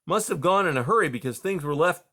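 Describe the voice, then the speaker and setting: A man's voice: a little high, expressive, fast talker, sharp tone, voice pushed up, exaggerated voice. A man voicing a grown male mouse, a father, who talks patiently but presses for answers.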